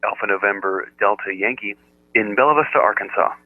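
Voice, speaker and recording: An American man chatting casually as an amateur radio operator, with a halting delivery. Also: lowish voice, heavy background noise. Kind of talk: casual ham radio chat. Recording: narrow-band, telephone-like radio audio.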